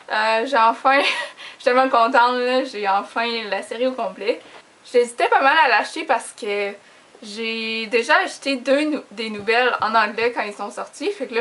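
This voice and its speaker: A woman's voice: on the high side, fairly bright tone. A young woman with a Quebec French accent chatting casually and informally to camera as a book vlogger, in a giggly, self-deprecating way. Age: young adult.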